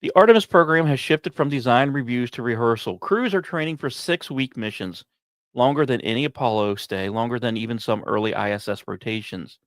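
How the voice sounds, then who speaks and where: A male podcast host narrates space and science material in a medium-pitched voice. He phrases it like a dramatic story, setting off short fragments with pauses and now and then stepping aside to address listeners. The sound is dry and close, with little room around the voice. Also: no room sound.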